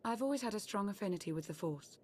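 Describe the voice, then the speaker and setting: An actress playing a composed, assured founder of a galactic alliance in a video game cutscene. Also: female voice, mid-range voice, animated, fast talker, husky. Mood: calm, assured.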